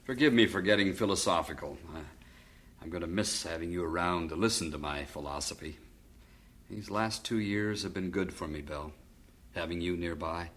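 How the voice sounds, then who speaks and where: A man playing a fond, wry middle-aged father in a vintage radio drama, speaking gently and philosophically. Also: sits low, moderate pace, a little hiss or hum. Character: fond widowed father, stock investor.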